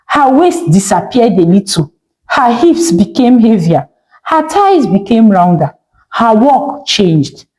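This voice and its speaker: A woman's voice: on the high side, dark tone, low-quality recording. A woman with a Nigerian accent narrating a scripted story in a theatrical way, with emphatic short phrases and rhetorical questions.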